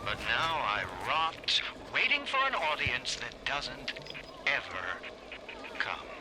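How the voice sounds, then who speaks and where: A man's voice: mid-range voice, huge pitch swings, slow, background noise. An actor playing a vain, resentful male performer in a video game monologue, theatrical, melodramatic and self-pitying. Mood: bitter, wounded. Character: embittered, vain male performer, faded star seething with resentment.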